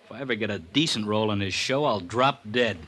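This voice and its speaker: A man's voice: on the low side, fast talker, a little hiss or hum, lightly acted. An actor in a 1950s radio drama playing a brash, sarcastic young comic sidekick who resents his place, wisecracking as he talks. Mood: bitter, sarcastic. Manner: wisecracking, animated.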